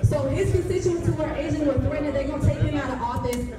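A woman with a Californian accent speaks quickly and earnestly at an outdoor rally. Her high voice comes through a PA with heavy echo, picked up from out among the listeners. She is impassioned and slips easily into slang. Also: heavy background noise. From San Jose, California, United States. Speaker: community organizer and poet. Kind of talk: public rally speech. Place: outdoors on the steps of a large civic building. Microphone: handheld mic through PA, recorded by distant audience camera. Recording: echoey outdoor PA heard from far off.

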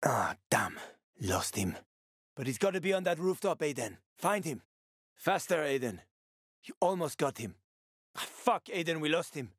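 A man's voice: on the high side, very animated, moderate pace, pauses often, dry recording, clean studio sound. An actor playing a roguish, wisecracking male survivor in a video game, talking in a casual, teasing, sweary way. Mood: urgent.

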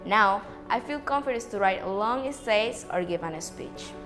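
A woman's voice: mid-range voice, lively intonation, a little slow, over music. A young woman from Ecuador giving an earnest, recited student testimonial. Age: young adult. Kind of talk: prepared testimonial. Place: bright indoor studio backdrop.

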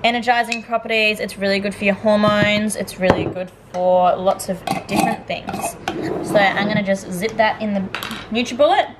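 A woman's voice: medium pitch, steady tone, normal speed, very noisy. A woman in her twenties with an Australian accent, chatting casually as a vlogger to a handheld camera at arm's length, upbeat and rambling. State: out of breath after a workout. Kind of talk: casual vlog chat. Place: home kitchen and bedroom, also a gym.

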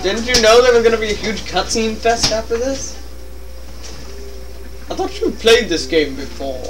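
A man's voice: high voice, lively intonation, normal speed. A young man doing casual, crude and exasperated game commentary with a friend. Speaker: let's play commentator. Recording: distant mic with background noise. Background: video game sound.

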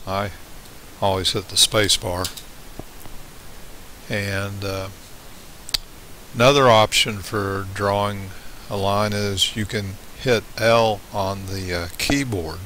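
Male tutorial instructor, lowish pitch, bright forceful tone, halting, plain explanatory phrasing, hissy home voice-over. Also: low-quality recording. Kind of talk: step-by-step screencast tutorial, unscripted. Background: steady hiss.

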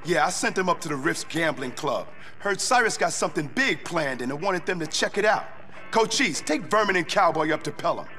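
A man's voice: fairly high pitch, expressive, fairly quick, sharp tone. A man playing a determined street gang warlord in a video game, commanding, streetwise and urgent. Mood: businesslike. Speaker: gang leader. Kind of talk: gritty video game cutscene acting. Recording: game dialogue over music.

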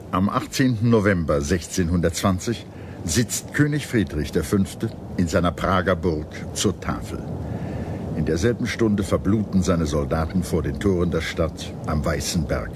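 A man reading scripted historical documentary narration in a measured, declarative storytelling manner. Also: sits low. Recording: clear background noise and ambience.

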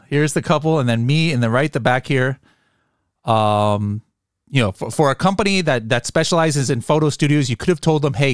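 A man's voice: medium pitch, dry recording. A man hosting a podcast, chatting casually and joking with his guests from a studio mic. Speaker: podcast host. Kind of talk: casual interview chat. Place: home studio with headset and boom mic. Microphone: studio microphone on boom arm, headphones.

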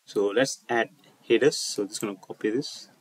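A man presenting an unscripted coding walkthrough over a screen recording, speaking haltingly and often repeating and rephrasing his phrases. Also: fairly low pitch, no echo. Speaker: tutorial presenter.

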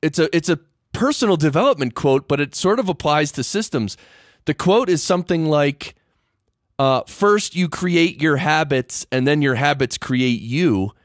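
A man's voice: a little high, lively intonation, moderate pace, dry recording, studio quality. A man hosting a podcast interview, joking and enthusiastic in casual chat. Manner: jokey, enthusiastic. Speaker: podcast host.

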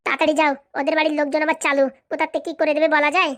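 A scheming village madam from a comedy cartoon, acted by a woman, speaks in a high, piercing pitch. Her rural Bengali speech comes quickly, blunt and commanding, as she bosses around her hired help. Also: flat delivery, fairly bright tone, no echo, studio quality. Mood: blunt, impatient. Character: bossy scheming village madam hiring a corrupt policeman. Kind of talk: comic cartoon dialogue.

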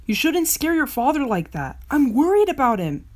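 A self-doubting young cartoon wolf, as a woman plays it speaks haltingly, full of fillers and worry. Her voice is high and moves a lot in pitch. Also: very animated, darkish tone. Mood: worried. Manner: hesitant, stammering, worried. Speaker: voice actor auditioning.

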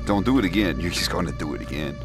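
A young man with a very low, deep voice talks slowly through a horror game, his pitch swinging widely as he nervously reasons aloud. Loud game music plays underneath him. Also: expressive. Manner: jittery, talks himself through scares, yelps high when startled. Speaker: let's play gamer.

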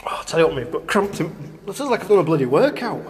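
A man's voice: a little high, very animated, quick pace. A man chatting casually to livestream viewers on a walking tour, recorded on a handheld phone. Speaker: paranormal investigator livestreaming. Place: inside a lighthouse stairwell and museum. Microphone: phone at arm's length. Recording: reverberant stairwell, faint noise, handheld camera.

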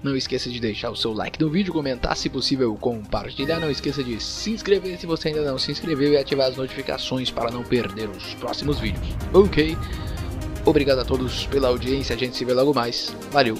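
A Brazilian man with a mid-pitched voice comments on a video game in an informal, off-the-cuff way. He talks as if half to himself, reacting as he plays. Game music runs clearly behind his voice. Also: fast. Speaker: gameplay host. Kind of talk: casual let's-play commentary.

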